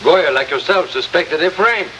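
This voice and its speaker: A man's voice: a little high, expressive, moderate pace, a bit bright, a little hiss or hum, poor audio. An actor playing an earnest little South American undercover policeman in a 1950s radio drama. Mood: firm, earnest.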